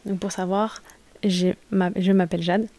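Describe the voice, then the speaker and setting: A young woman in her late twenties talks into a podcast microphone in a loose solo monologue. She is spontaneous, hesitates often, and keeps restarting her sentences. Her voice sits in an ordinary middle pitch. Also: little pitch movement, moderate pace, mellow. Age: late 20s. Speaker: podcast host.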